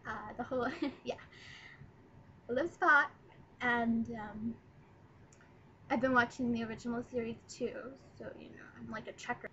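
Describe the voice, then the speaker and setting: 19 yr old girl rambling on her laptop webcam, lots of ums, voice a bit high, faint hiss behind. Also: steady tone, normal speed, pauses often, bright, forceful tone, dull audio. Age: 19, young adult. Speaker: vlogger. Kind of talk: casual first video blog. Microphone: laptop webcam mic.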